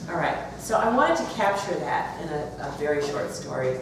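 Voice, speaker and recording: A woman's voice: low-pitched, sing-song, normal speed. A woman author speaking and reading aloud at a live event. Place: bookstore, audience event. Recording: roomy, distant, noisy live event recording.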